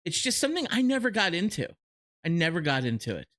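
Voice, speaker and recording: A man's voice: sits high, sing-song, quick pace, a bit bright. A middle-aged man with a cartoon-character voice chatting casually as a podcast co-host, enthusiastic and playful. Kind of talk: casual chat.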